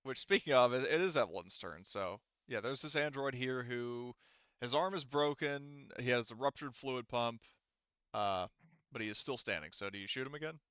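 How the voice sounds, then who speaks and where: Male game master, casual narration, sweary, joking, smooth clear tone, forceful, dry sound. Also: medium pitch, moderate pace, no echo, dull audio.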